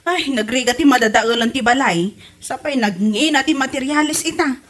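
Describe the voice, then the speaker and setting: A woman's voice: high-pitched, moderate pace, fairly bright tone. A woman playing a chatty, friendly neighbor in an amateur comedy audio drama, full of sighing exclamations. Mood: chatty, concerned.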